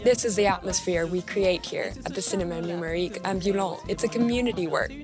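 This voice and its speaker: A woman's voice: fairly low pitch, fast. Woman's news voice-over with music behind. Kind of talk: translated voice-over for a news report.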